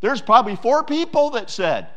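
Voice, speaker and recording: A man's voice: very high voice, very animated, moderate pace. A pastor preaching a sermon to his church, emphatic, with repeated phrases and many fillers.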